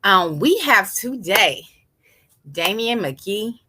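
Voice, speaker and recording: A woman's voice: mid-range voice, big pitch swings, slow pace, bright, forceful tone, husky. An American woman hosting a live online interview in a casual, slangy, encouraging way. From Los Angeles, United States. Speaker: host of an online business community show.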